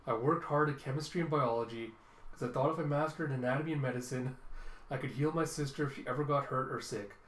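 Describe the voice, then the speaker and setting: A man voicing a proud, excitable young secret policeman and tutor from a cartoon, condescending and theatrical in manner. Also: medium pitch, fast talker, a little hiss or hum, lightly acted. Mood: earnest, lecturing. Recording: roomy, echoing room sound.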